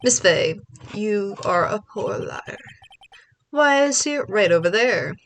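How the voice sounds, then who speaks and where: A woman with a stuffy low voice voicing a pompous, smug male villain who speaks with gloating courtly menace in a video game read-along. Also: lively intonation, takes it slowly, a bit bright, a little hiss or hum.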